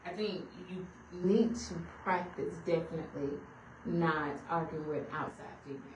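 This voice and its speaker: Raspy woman co-hosting radio talk. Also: low voice, moderate pace, reverberant, far from the mic, background noise. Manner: firm, with lots of affirming mm-hmms. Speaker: radio show co-host.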